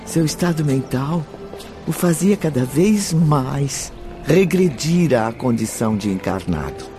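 A man narrates a radio drama in a solemn, formal way. His medium-pitched voice rises and falls expressively over prominent music. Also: animated, unhurried.

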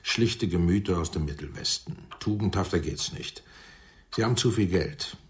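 A shrewd, low-voiced American showbiz manager in a radio drama, played by a man. He is smooth and unctuous, weighing his words with deliberate hesitations and a show of regret. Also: close to an everyday voice. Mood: wry, confiding. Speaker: actor in a radio play. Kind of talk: German radio drama acting.

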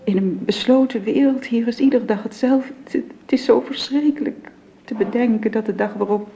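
An actress playing a long-ill bedridden wife who speaks softly and haltingly, often in a whisper, in a dull old radio drama recording. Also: female voice, on the high side, vocal fry, a little hiss or hum. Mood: resigned. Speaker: bedridden invalid.

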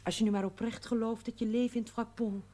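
A woman's voice: on the high side, steady tone, fast talker, close to an everyday voice. An actress playing a plain, sincere, reassuring village woman in a television period drama. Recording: faint noise, old TV broadcast audio.

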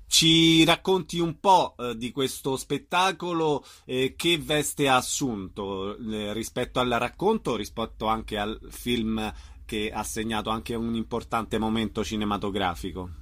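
A man's voice: medium pitch, unhurried, a bit bright. A man hosting a radio interview, putting conversational questions to his guest.

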